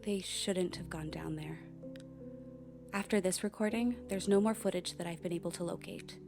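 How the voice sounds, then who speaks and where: Seeking an actress for a grave, lower-pitched female narrator of found recordings in a radio play, set over music. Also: normal speed, lightly acted.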